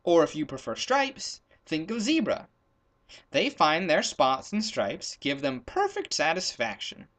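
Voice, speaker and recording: A man voicing a practical, bossy hunter in a children's storybook, brisk and instructive. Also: a little high, huge pitch swings, fairly bright tone, heavily acted. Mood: persuading. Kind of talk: storybook character voice in a read-aloud.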